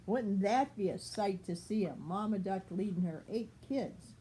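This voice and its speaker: An older woman, a grandmother, reading a children's picture book aloud with expressive, deliberate phrasing. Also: mid-range voice, slight background noise.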